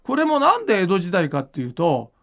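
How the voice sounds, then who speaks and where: A man's voice: high voice, wildly swooping pitch, brisk, vocal fry, a bit bright. A man hosting a podcast, chatting casually and explaining his thoughts in an informal, musing way. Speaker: podcast host and manga creator.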